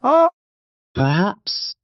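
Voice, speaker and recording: A man's voice: high-pitched, wildly swooping pitch, drawn-out words, highs cut off, pitched above the performer's own voice, exaggerated voice. A man voicing a listless, defeated young man in an audiobook, weary and resigned. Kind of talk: dramatised audiobook character reading.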